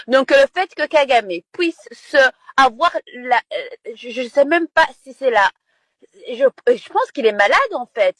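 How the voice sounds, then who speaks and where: A woman's voice: sits high, a bit bright, no room sound. A woman from Rwanda, speaking as an interview guest in an impassioned, insistent way, often repeating words as she searches for them.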